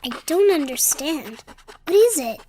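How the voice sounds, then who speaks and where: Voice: sits high, very animated, measured pace, warm tone, a little hiss or hum. A performer playing a timid, eager little boy, an earnest and childlike younger brother, in an audio drama. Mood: puzzled.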